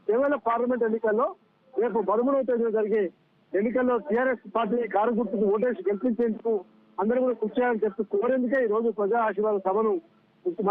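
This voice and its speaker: Male field reporter, live report, rapid delivery, high pitch, thin phone-quality audio. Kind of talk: unscripted live news report. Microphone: phone line. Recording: tinny phone-line audio.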